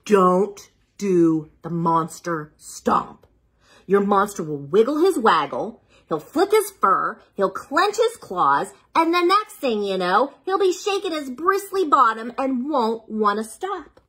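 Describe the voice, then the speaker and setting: Woman reading bedtime stories, very animated delivery. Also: medium pitch, takes it slowly, slightly rough. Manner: playful, dramatic emphasis, rhetorical questions to the listener. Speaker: children's storyteller reading picture books aloud. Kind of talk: expressive bedtime read-aloud with asides to young children.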